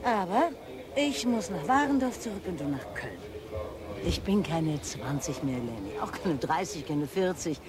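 Lady acting middle aged cop woman in love, wry n guarded, expressive, radio play with bustle behind. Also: fairly low pitch, normal speed, crowd noise, lightly acted. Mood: wistful, guarded. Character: level-headed middle-aged policewoman in love, wary. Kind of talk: naturalistic radio drama acting.

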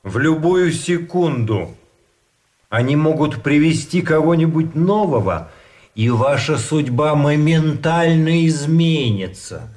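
An actor in a Russian radio drama playing a grave, methodical captive officer who explains things deliberately. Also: male voice, on the high side, expressive, a little mellow. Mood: grave, explaining.

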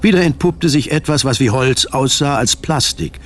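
A male narrator reads scripted prose for a radio drama. His delivery is measured and literary at a middle pitch, with faint noise in the background.

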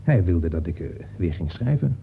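Evasive husband, swooping intonation. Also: male voice, low-pitched, lively intonation, vocal fry, breathy edge, heavily acted. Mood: evasive, irritable. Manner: hesitant, repeats words, halting. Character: evasive, preoccupied writer and husband. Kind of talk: Dutch radio play acting. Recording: muffled, noisy old radio play recording.

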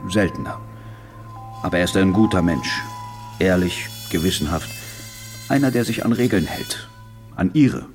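A man playing a dignified, dryly reproachful imam in a radio drama, speaking in a measured way. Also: deep voice, expressive, over music. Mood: earnest. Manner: measured, dry. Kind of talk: naturalistic radio drama acting.

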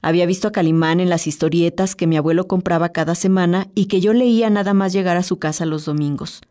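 A woman's voice: on the low side, fairly even intonation, quick pace, dark tone. A woman narrating a scripted personal essay as a radio presenter. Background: music.

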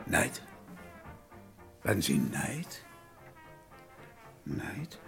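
An actor playing a hesitant innkeeper under police questioning in a crime radio play, stammering and groping for answers in a creaky voice. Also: male voice, low-pitched, wildly swooping pitch, extremely slow, faint music behind, heavily acted. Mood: hesitant, unsure.

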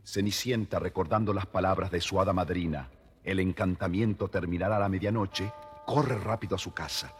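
A man's voice: deep voice, steady tone, a little fast, slightly rough. A man narrating a scripted fairy tale expressively for young listeners. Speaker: storyteller, narrator. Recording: vintage story record with music behind.

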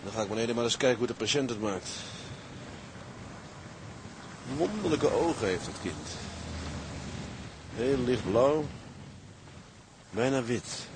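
An actor playing a gruff but kindly seaman in a radio drama, talking in a homely, muttering way. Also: mid-range voice, background noise, lightly acted. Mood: musing. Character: gruff, kindly seaman tending a rescued castaway.

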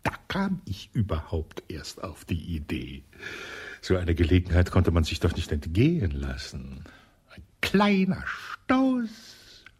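An actor playing a sly colonel under police questioning in a radio play, with a husky voice, evasive and dryly sarcastic, often dropping to a whisper. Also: male voice, low voice, huge pitch swings, slow, darkish tone, heavily acted. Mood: gloating. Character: sly elderly male colonel, suspected wife-killer.

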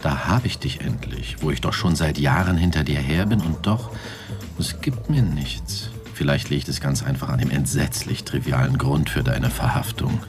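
An actor playing a sardonic, world-weary police detective in a German radio drama, with a theatrical, smug delivery. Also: male voice, extremely low pitch, lively intonation, moderate pace. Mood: mock disappointment. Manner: sarcastic, theatrical. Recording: loud music behind the voice. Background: music.

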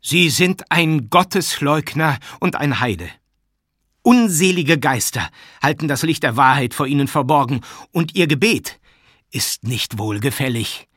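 A man's voice: medium pitch, lively intonation, unhurried, breathy edge, no echo, clean studio sound. A man voicing a polite but stubborn, wrinkled and pious cousin who pleads and preaches in an audiobook. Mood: indignant preaching. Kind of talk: audiobook character acting.

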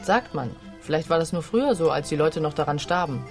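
An actress playing an off-duty nurse in a radio drama, talking in a casual, blunt and teasing way. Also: female voice, sits low, animated, fairly quick, music in the background. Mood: breezy, blunt. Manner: casual, matter-of-fact, teasing.

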